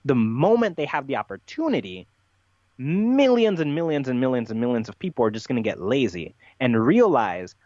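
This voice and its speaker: A man's voice: medium pitch, sing-song, normal speed, warm tone, dry recording, dull audio. A man giving unscripted interview answers as a podcast guest, explaining at length in an emphatic, rambling way with repeated phrases.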